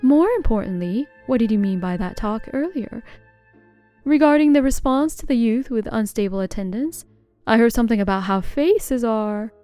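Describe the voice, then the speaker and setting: A woman's voice: a little high, animated, normal speed, mellow, dry recording, faint music behind, natural voice. A woman playing a stern, composed event manager with a cool, reproving manner in a manga story.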